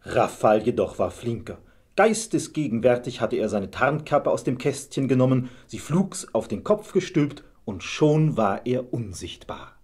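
A male narrator tells a scripted fairy tale. His voice is medium in pitch and noticeably husky. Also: expressive, a little slow, a little mellow. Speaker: storyteller, narrator.

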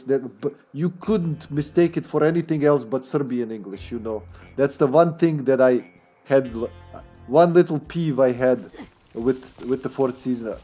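A man's voice: medium pitch, dark tone. A man in his thirties chatting casually on a headset while streaming a video game, laughing often and trailing off mid-sentence. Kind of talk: casual gameplay commentary. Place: indoor room at home.

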